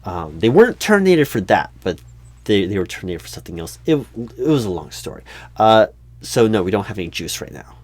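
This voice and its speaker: Man playing a flustered, stammering low-voiced office recruiter. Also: sing-song, a little fast, darkish tone, dry recording. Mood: awkward, hesitant. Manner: hesitant, stammering, awkwardly tactful. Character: flustered, oblivious, polite male recruiting manager. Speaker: recruiting manager in a job interview roleplay. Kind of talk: one-sided comedic audio roleplay.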